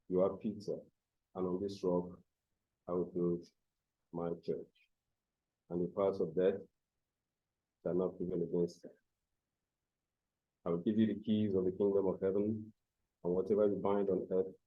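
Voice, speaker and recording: A man reading scripture aloud in a formal, deliberate way, phrase by phrase. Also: deep voice, husky. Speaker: scripture reader. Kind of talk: Bible reading. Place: church service. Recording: dull, slightly muffled sound.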